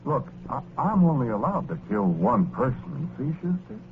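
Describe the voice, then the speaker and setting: A man's voice: on the high side, very animated, normal speed. An actor with an American accent playing a well-meaning, exasperated working-class ghost who tells his story in slangy 1940s radio drama style. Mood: patient coaxing. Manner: colloquial, folksy slangy storytelling. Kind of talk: 1940s radio horror-comedy acting, first-person narration. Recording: scratchy, noisy, narrow-band old radio recording.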